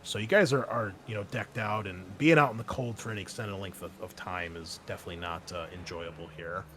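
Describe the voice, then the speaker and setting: A man with a fairly low voice acts as game master for a tabletop roleplaying game. His phrasing is informal and halting, feeling its way along. Some steady noise sits behind him.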